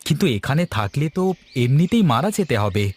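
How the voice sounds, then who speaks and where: A man's voice: mid-range voice, big pitch swings, a little fast, a little hiss or hum, close to an everyday voice. A man voicing a kind, curious young village lad in a cartoon, polite and inquisitive. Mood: concerned. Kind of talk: Bengali moral-story cartoon dubbing.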